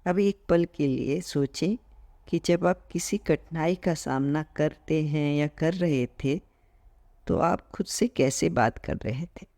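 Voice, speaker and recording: Slow, mellow male meditation guide. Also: a little high, dry recording, studio quality. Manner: measured, phrase-by-phrase delivery with gentle pauses; mixes English words into Hindi, repeating terms in both.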